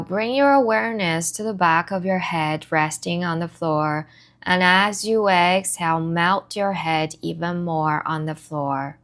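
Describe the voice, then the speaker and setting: A woman leading a guided body scan meditation as an instructor, giving soothing, measured instructions in short phrases with pauses between them. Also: on the low side, a bit bright. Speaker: meditation and somatic movement instructor.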